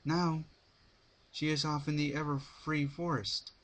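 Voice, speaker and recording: Guy doing a tired worried girl unicorn, raised pitch, earnest and bookish, storybook cartoon character. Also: flat delivery, a little slow, fairly bright tone. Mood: weary, troubled. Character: weary, worried, studious young female unicorn pony.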